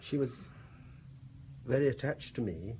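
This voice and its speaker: An actor playing a timid, grieving lawyer husband in a radio drama, with a hesitant, formal way of speaking. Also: male voice, medium pitch, animated, a little slow, mellow, a little hiss or hum, close to an everyday voice.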